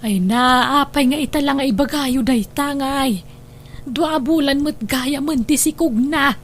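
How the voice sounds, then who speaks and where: An actress plays a fretful mother of a grown son in a radio drama. She sounds motherly and high-pitched, over faint background noise. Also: a little slow. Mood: welcoming, then surprised. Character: young man's fretful, motherly mother in household.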